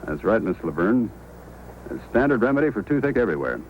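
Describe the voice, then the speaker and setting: Low-voiced, courteous police sergeant. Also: male voice, very animated, a little mellow. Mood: courteous, businesslike. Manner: formal, measured. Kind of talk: old-time radio acting. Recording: faintly noisy old radio recording.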